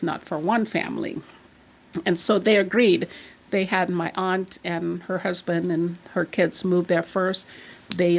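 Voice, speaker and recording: A woman's voice: lowish voice, vocal fry. A woman in her sixties from Trinidad and Tobago, chatting as a podcast guest and telling stories from her childhood. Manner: chatty and animated, laughs while telling stories. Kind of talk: casual storytelling chat.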